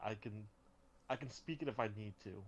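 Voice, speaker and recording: A man's voice: lowish voice, a crowd in the background. Young man hosting a casual podcast chat. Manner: asks guests questions, halting restarts. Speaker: podcast host.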